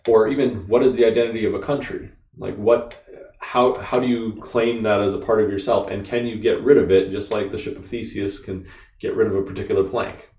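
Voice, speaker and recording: A man's voice: fairly low pitch, steady tone, a little fast, darkish tone, recorded from a distance. An American man, a podcast co-host, explaining ideas at length in casual discussion, full of "like" and "you know.". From United States. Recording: dull, echoey room, off-mic.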